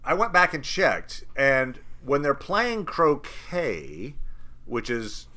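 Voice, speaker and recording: A man co-hosting a podcast, chatting casually and thinking aloud, with quick restarts and little acted asides. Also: mid-range voice, wildly swooping pitch, bright, forceful tone. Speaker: podcast co-host. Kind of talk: casual chat about a TV episode.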